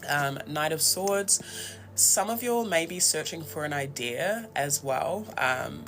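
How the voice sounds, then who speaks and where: Kiwi guy doing a chatty tarot reading, lots of fry, bit higher voice, thinks as he goes. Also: lively intonation, a little slow, a bit bright, faint music behind. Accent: New Zealand. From New Zealand. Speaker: tarot reader and host. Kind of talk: casual, conversational tarot reading.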